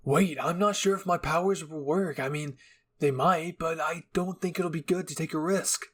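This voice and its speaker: A man's voice: a little high, moderate pace, fairly bright tone, voice pushed up. A man voicing a playful, teasing young man who is earnest and easily flustered, in a fan fiction reading.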